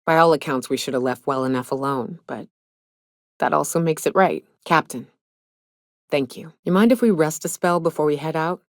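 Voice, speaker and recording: A low female voice for a hardened huntswoman in a space frontier video game. She is gruff and blunt, with folksy turns of phrase and a foul mouth over old grief. The sound is completely dry, with no room around it. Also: moderate pace, dry recording. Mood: grim, resolute. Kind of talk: video game character dialogue.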